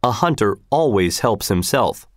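A man's voice: lowish voice, lively intonation, measured pace, no echo, clean studio sound. A man reading a story voices a bold, easygoing hunter and father who speaks casually and self-assuredly.

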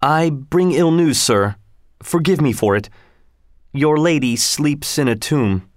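A man's voice: a little high, animated, slow, no room sound, studio quality. A man reading aloud, voicing a young servant who speaks respectfully and apologetically. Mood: deferential. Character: young male servant, loyal and deferential.

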